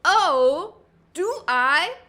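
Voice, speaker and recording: A woman voicing a brash, hot-tempered teenage girl in a comic dub, blustery, bossy and quick to get defensive. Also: very high-pitched, very animated, pushed and bright, heavily acted. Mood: menacing, needling. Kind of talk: animated comic-dub voice acting.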